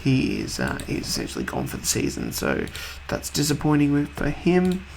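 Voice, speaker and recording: A man hosting a video game let's-play in a casual, rambling way, slightly husky from a cold. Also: fairly high pitch, wildly swooping pitch, moderate pace, a little hiss or hum. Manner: rambling, offhand, chatty.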